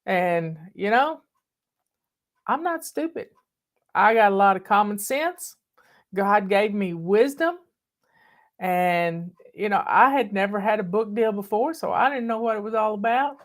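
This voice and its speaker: A woman's voice: medium pitch, expressive, a little slow. An older woman with a Southern US accent, chatting casually with her viewers and telling a story in a folksy, bossy manner. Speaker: online host. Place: home in the mountains.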